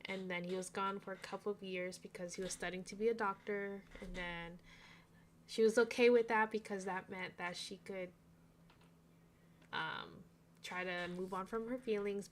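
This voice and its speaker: A woman chatting casually as she co-hosts book reviews, with an expressive, dramatizing way of talking. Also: mid-range voice, slight background noise. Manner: expressive, exaggerates mock dialogue for emphasis.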